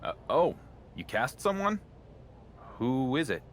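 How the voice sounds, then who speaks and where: A man's voice: medium pitch, very animated, takes it slowly, in a large hall, a little hiss or hum. An actor playing a defensive, sarcastic wrestling champion in a video game, a man with a wry, casual way of talking. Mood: puzzled. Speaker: wrestling champion and show co-host. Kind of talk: scripted video game cutscene acting.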